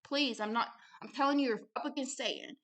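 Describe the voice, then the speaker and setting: A woman's voice: high-pitched, brisk, a bit bright. A woman ministry host giving religious testimony and commentary in an emphatic, blunt manner, repeating phrases to drive them home. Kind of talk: impassioned religious testimony, exhortation and commentary on a book read aloud.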